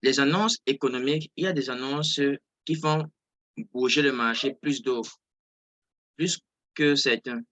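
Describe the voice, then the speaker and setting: Bright-voiced man teaching live online. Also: mid-range voice, a little slow, no echo. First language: not French. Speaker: trading instructor. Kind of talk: informal live online lesson, explaining. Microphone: close mic on an online video call.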